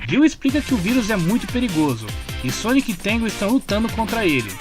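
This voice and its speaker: Male narrator, Brazilian accent, high register, scripted story recap, delivered over a prominent music bed. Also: sing-song, fast. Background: clear background music.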